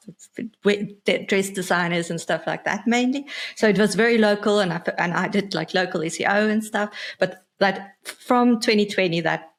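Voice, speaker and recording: A South African woman in her thirties with an Afrikaans accent, a podcast guest chatting casually over a video call, hesitant with many fillers and soft laughs. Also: medium pitch. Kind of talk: casual interview chat. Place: home office, indoors.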